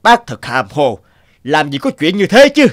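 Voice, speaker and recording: A man voicing a blustering, greedy rich landlord in an animated folktale. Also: fairly high pitch, unhurried, dry recording. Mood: scheming, indignant. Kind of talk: Vietnamese animated folktale dialogue. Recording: music behind the voice.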